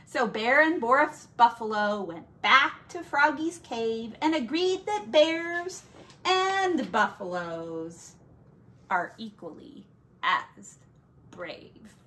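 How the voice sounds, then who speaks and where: A woman teacher reading a picture book aloud to young children, with drawn-out emphasis and playful asides. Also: a little high, lively intonation, sharp tone.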